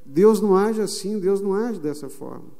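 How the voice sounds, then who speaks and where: High-voiced Brazilian pastor preaching in a church hall. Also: male voice, animated, gravelly, mellow. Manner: emphatic preaching with slow, drawn-out phrases. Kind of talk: sermon. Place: church sanctuary. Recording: long reverb of a church hall.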